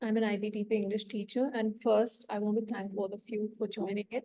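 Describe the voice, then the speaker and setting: A woman's voice: medium pitch, level tone, fairly quick, warm tone. A woman English teacher hosting a workshop over a video call. She welcomes people and explains by asking questions.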